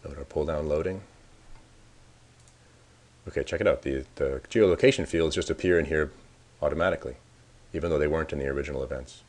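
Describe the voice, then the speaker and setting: A man's voice: rumbling low, fairly quick, pauses often, darkish tone. A man narrating a casual screencast tutorial as the presenter, informally thinking aloud as he works. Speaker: software presenter giving a demo.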